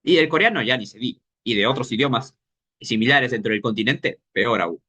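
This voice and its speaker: Guy teaching a casual workshop, thinks out loud, pauses looking for words. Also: medium pitch, animated, breathy edge. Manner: explains thinking aloud, pausing to search for words. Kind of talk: informal workshop lesson.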